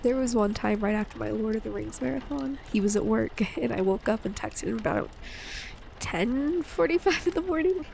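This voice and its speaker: A woman playing an affectionate, playful wife and mother in an intimate audio roleplay, speaking in a gentle, teasing way. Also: a little high, normal speed, creaky, a little hiss or hum, poor audio.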